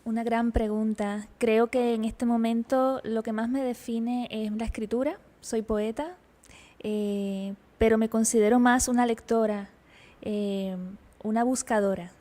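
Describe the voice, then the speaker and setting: A woman with a Puerto Rican accent answering interview questions as a guest poet, measured and reflective. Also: fairly high pitch, fairly even intonation, dark tone. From Puerto Rico.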